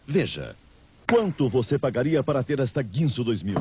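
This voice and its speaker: A man doing an infomercial sales pitch as an announcer, on an old TV advert recording. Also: very animated, moderate pace. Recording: dull, noisy old TV advert.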